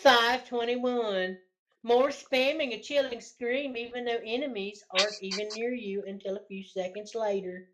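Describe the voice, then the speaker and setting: High-voiced giggly woman reading aloud. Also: fairly even intonation, normal speed, noisy background. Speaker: co-host reading forum posts aloud. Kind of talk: comedic read-aloud of gamer forum posts.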